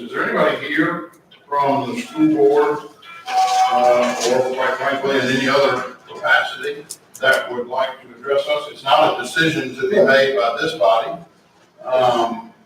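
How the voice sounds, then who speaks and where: A man chairs a public meeting in a courteous, procedural way, pausing now and then. He sits far from the microphone in a heavily echoing room with faint noise behind. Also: mid-range voice, a bit bright. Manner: courteous, procedural, slightly hesitant.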